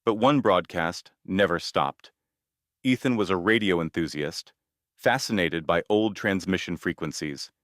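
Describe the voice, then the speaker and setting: A man narrating a scripted scary story in a measured, ominous manner, in short deliberate phrases. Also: deep voice, a bit bright, no room sound, clean studio sound.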